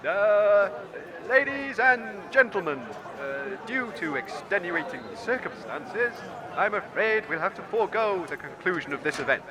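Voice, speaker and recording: A man playing a formal, halting sports announcer in a comedy audio drama, heard from a distance. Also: high voice, lively intonation. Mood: formal, apologetic. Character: formal, hesitant sports event announcer at shambolic games. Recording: distant announcer over outdoor crowd.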